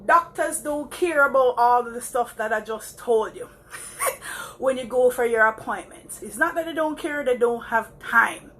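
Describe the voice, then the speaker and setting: A woman's voice: a little high, a little slow, fairly bright tone. A Jamaican woman chatting casually to her live viewers while walking around the block, laughing often and calling them guys. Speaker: vlogger.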